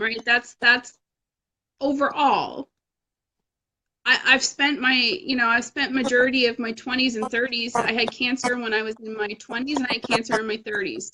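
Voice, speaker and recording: A woman in her thirties talks in a casual, motivational way, frank and self-deprecating. Her voice sits a little high and sounds bright and forceful. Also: low-quality recording. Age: 30s. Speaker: fitness challenge group coach.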